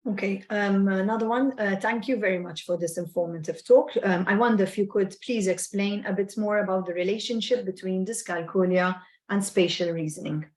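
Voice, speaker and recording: A woman moderating a webinar on a video call, politely reading out audience questions in a measured way. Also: mid-range voice, darkish tone.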